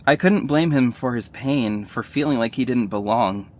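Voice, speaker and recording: Audio drama lead for a male actor: earnest young hero, emotional first-person narration, medium-pitched voice. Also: moderate pace, a little mellow, close to an everyday voice. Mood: somber, guilty.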